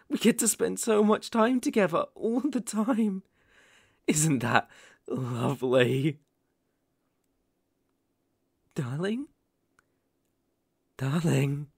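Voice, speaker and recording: A man playing a possessive, lovesick boyfriend in an ASMR roleplay, cooing with gentle condescension and quiet menace, often hushed and breathy. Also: fairly high pitch, dry recording, clean studio sound, exaggerated voice. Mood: soothing, controlling. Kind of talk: ASMR roleplay, dramatic monologue.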